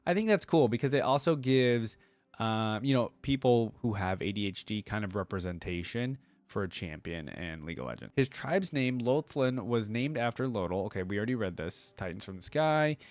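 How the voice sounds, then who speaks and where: A man's voice: fairly low pitch, animated, normal speed, no echo. A man hosting a reaction video with casual, conversational commentary.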